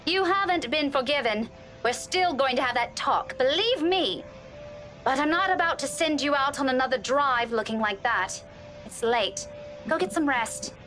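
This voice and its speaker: A woman's voice: sits high, animated, normal speed, fairly bright tone, faint music behind. An actress voicing a stern, exasperated young woman in a visual novel game, firm and scolding. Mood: grudging, firm.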